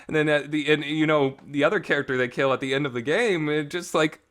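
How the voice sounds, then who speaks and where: A man's voice: on the high side, fairly even intonation, quick pace, a bit bright. A man hosting a casual talk show, giving an enthusiastic, informal and emphatic fan review with some reverb on his voice. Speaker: host of a visual novel talk show. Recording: reverberant voice recording.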